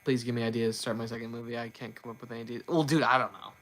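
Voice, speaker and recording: A man chatting loosely to his livestream in a bright, forward voice, his pitch sitting right in the middle. He trips over his words and starts sentences again and again, repeating himself as he goes. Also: little pitch movement. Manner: stumbles and repeats words, quick restarts. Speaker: livestream host. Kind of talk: casual livestream chat.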